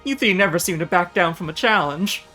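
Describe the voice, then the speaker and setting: A woman's voice: lowish voice, a little fast, bright, forceful tone, soft background music. Woman voicing an unsure, gullible young student guy. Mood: earnest. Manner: hesitant, unsure. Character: timid, gullible, earnest young male student Doug. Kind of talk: visual novel character read aloud.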